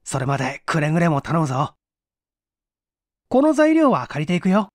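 A man voicing a brash, confident, impatient inventor in a storybook reading, brusque, hurried and assured. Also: on the high side, huge pitch swings, no room sound, studio quality. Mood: confident, secretive.

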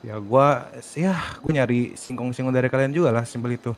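A man's voice: mid-range voice, a little fast, music in the background. A man arguing insistently in character during an online role-play game. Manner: argumentative, insistent. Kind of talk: in-character argument in a multiplayer game.

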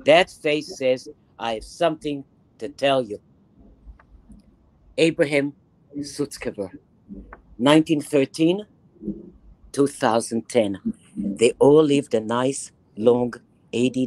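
A woman in her eighties from Israel with a Bronx accent, lecturing a reading group over a video call in an animated, emphatic way, repeating phrases for effect. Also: a bass voice, slow, pauses often, no room sound, rough recording. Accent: Bronx New York. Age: 80s. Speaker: guest lecturer. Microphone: webcam on video call, close to the face.

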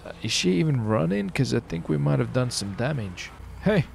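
A man with a creaky, gravelly voice chats casually through a video game roleplay. He swears and exclaims freely, over faint noise of car engines. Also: mid-range voice, sing-song, normal speed. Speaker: gaming streamer.

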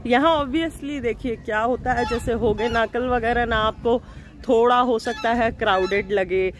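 A woman's voice: on the high side, measured pace. Chatty woman vlogging a road trip. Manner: chatty, mixes English into Hindi. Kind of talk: casual travel vlog narration. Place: outdoors by a river and inside a car. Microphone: phone camera at arm's length.

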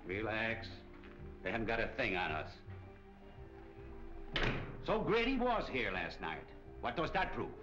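Smooth menacing middle-aged gangster boss, low pitch, vintage crime film, muffled sound. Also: male voice, wildly swooping pitch, measured pace, pauses often. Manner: smooth, controlling, veiled threats. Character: slick, menacing male cafe owner and smuggling crook. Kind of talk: 1940s crime film acting. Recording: dull old film soundtrack, music behind.

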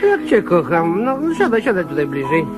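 An absent-minded, affable husband, high-voiced and very animated in intonation. He sounds placating and distracted, as in old radio comedy, over prominent music. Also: moderate pace.

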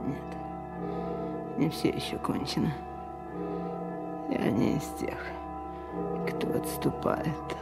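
An actress playing a branded, defiant villainess in a radio play, hushed, halting and anguished. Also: female voice, close to an everyday voice. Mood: hushed defiance. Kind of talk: melodramatic radio monologue. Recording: radio drama under loud music.

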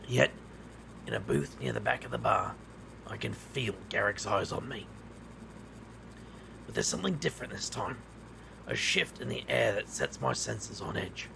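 A man playing a wry, reckless street magician who narrates his own tale in an audio drama, in a gravelly voice. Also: on the low side, very animated, normal speed, long pauses, background noise, exaggerated voice. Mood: tense, brooding. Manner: wry, sardonic. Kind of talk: first-person audio drama narration and dialogue.

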